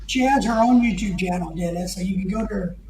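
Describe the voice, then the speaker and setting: A man's voice: high-pitched, quick pace, a little mellow, slight background noise. A man hosting a livestream who jokes and teases while he reads chat comments aloud. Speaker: livestream host. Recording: echoey room.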